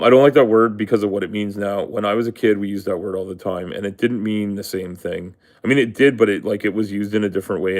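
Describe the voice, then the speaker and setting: Brief: a man, a bit low voice, casual live stream host, rambling and profane. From Canada. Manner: rambling, profane, full of fillers. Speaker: livestream host. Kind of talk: casual livestream rant.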